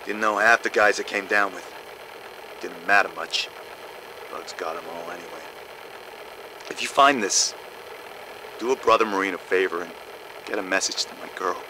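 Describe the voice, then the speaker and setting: A man's voice: low voice, moderate pace, long pauses, noisy background. A man playing a trapped, doomed young marine in a video game, recording a shaky, emotional last message. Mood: bleak, resigned. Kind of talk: dramatic video game monologue.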